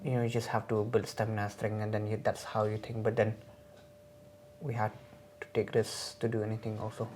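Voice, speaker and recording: A man, a guest on a podcast, talking earnestly and trailing off quieter at the ends of his thoughts. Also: fairly low pitch, completely flat delivery, a little fast, slight background noise. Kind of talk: casual podcast conversation.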